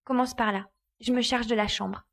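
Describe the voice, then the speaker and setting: A woman acts a decisive young woman in charge of a secret search in an audio drama. Her voice is fairly high, and she gives brisk, commanding instructions. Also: steady tone, a bit bright, slight background noise. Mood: businesslike.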